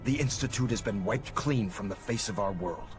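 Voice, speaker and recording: A man's voice: lowish voice, moderate pace, close to an everyday voice. A man playing a stern, zealous military commander in a video game, speaking with formal, oratorical authority. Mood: formal, proud. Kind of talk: scripted video game dialogue. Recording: game audio with music behind.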